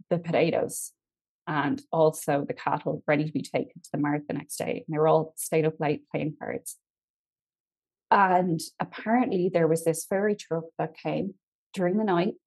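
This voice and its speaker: Low-voiced young Irish woman telling stories. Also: rough recording. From Ireland. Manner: chatty, drifts between loud and quiet, laughs easily. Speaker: guest. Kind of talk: casual storytelling conversation.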